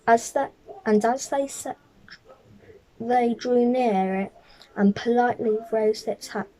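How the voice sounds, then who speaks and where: A woman reading a children's picture book aloud as a storyteller, careful and a little halting, stumbling over the odd word. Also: a little high, mellow, poor audio.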